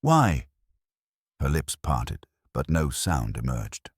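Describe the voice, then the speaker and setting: Really low husky guy narrating a detective story, very dry sound, dramatic read. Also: big pitch swings, measured pace, dry recording. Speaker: audiobook narrator. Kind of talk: scripted dramatic mystery narration.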